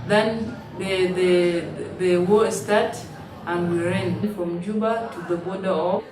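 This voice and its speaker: A woman, telling her story in halting, simple English with frequent restarts. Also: lowish voice, fairly even intonation. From South Sudan. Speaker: interviewee, refugee. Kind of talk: personal testimony in an interview. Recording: roomy, distant mic, noisy ambience.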